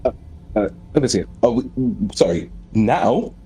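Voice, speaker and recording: Man as Victor, mellow-voiced, nervous vault engineer. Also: lowish voice, animated, measured pace. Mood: nervous, sheepish. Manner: hesitant, apologetic.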